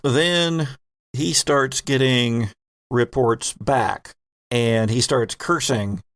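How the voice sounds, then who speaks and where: A man's voice: medium pitch, drawn-out words, fairly bright tone, no room sound, studio quality. A man narrating a tabletop roleplaying game as game master, improvising in a conversational way and addressing the players directly.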